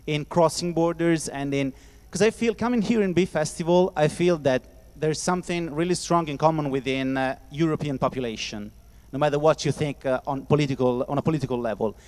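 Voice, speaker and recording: A man's voice: on the high side, a little fast, few pauses, darkish tone. Italian guy hosting a festival chat, laughs a lot, handheld mic, long hall echo. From Italy. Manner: playful, laughing, thinks aloud with fillers. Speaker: festival discussion host. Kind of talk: casual moderated discussion. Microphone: handheld microphone. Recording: reverberant hall.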